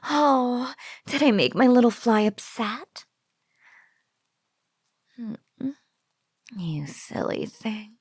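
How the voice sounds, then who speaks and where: A woman playing a teasing, possessive female spider monster in an intimate audio roleplay, with a breathy, cooing drawl that often slips into whispers. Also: fairly high pitch, expressive, very slow, long pauses, no echo, clean studio sound, exaggerated voice. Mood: cooing, affectionate. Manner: teasing, drawling coo with giggles.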